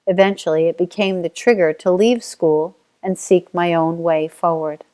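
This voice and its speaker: A woman's voice: lowish voice, darkish tone. A middle-aged woman from Australia with an Australian accent, steadily reading her own life story in a reflective way. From Australia (Tasmania). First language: Auslan (sign language).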